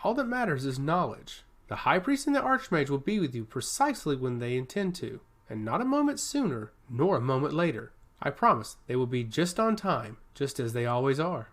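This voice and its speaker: A man voicing a genial old robed temple liaison with a booming, courteous, unhurried delivery. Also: very animated, a bit bright. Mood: gently insistent.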